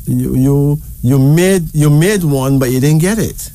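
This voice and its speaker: Barbadian man, card reader, mellow and relaxed tone, chatty, reassuring. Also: a little high, unhurried. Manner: chatty, reassuring, tag questions. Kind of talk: casual card reading chat.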